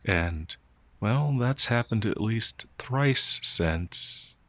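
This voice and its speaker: A man plays an ancient male werewolf, gentle and naive, with a lowish voice and very slow delivery. He is soft-spoken and halting, stammering in polite bewilderment. It is an intimate fantasy ASMR roleplay with a dry, close sound. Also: expressive, dry recording, heavily acted. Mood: pensive, hesitant. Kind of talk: ASMR roleplay audio drama.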